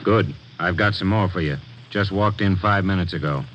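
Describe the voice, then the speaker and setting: A low-voiced police captain, played by a man in classic radio crime drama. He is terse and authoritative, a little fatherly as he questions. The old recording is dull with faint noise. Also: normal speed, lightly acted. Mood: businesslike. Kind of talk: 1940s-50s radio drama acting.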